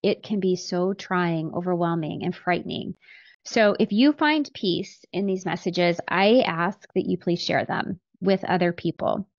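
A woman's voice: on the low side, a little slow, dry recording, highs cut off. Dry, close-miked woman podcaster. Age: middle-aged. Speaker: podcast host. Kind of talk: faith-based podcast monologue, teaching scripture. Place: small home office with bookshelves, indoors. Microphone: close studio microphone on boom arm.

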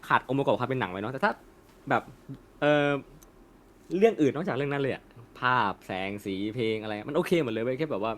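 A young man chats casually and gives his opinions at a brisk pace. His voice sits at an ordinary male pitch. His speech is loose and colloquial, sprinkled with slang and curse words. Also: animated, fairly bright tone. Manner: colloquial, peppered with swearing and slang. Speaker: co-host of an anime discussion channel. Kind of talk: casual chat, opinionated film discussion.

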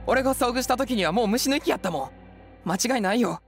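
A man's voice: very high voice, lively intonation, fast talker. A man playing an artless, clingy teenage boy with a Mie dialect in a voice comic, casual and boyish. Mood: earnest confession. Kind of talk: voice comic acting. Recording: faint music and insects behind.

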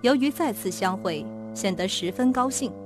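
A woman's voice: mid-range voice, animated, normal speed, natural voice. A woman reading scripted dramatic narration for a suspense radio play. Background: music behind the voice.

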